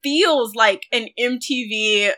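Female film podcast co-host, 30s, high voice, unhurried pace, expressive and effusive in casual discussion.

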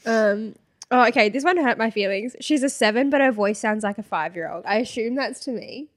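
A woman's voice: a little high, expressive, a little fast. A young woman co-hosting a podcast, casually reading hate comments aloud and laughing often. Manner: giggly, reads comments aloud with mock voices. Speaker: podcast co-host.

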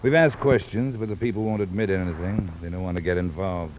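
Through a muffled, faintly hissy old radio recording comes a man acting as a very deep-voiced American homicide sergeant. He is gruff and weary, talking in a curt, flat, matter-of-fact way, in the style of 1950s detective radio. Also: a little fast, natural voice.

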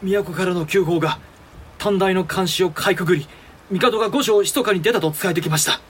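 An actor playing a cautious, deferential samurai-era regent in a period audio drama, speaking formally and respectfully. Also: male voice, high-pitched, brisk, a bit bright, a little hiss or hum. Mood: grave. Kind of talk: period radio drama acting in archaic speech.

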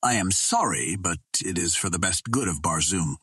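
Man reading as a husky, courteous, treacherous old Martian. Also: low-pitched, expressive, normal speed, bright, forceful tone, no echo, studio quality, voice pushed down, exaggerated voice. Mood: coldly regretful. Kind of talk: audiobook character dialogue.

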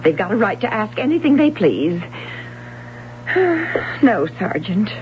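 A woman's voice: medium pitch, big pitch swings, takes it slowly. An actress playing a sarcastic, bitterly anxious mother of a missing daughter in a radio drama, speaking pointedly. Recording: muffled, noisy 1970s radio broadcast recording.

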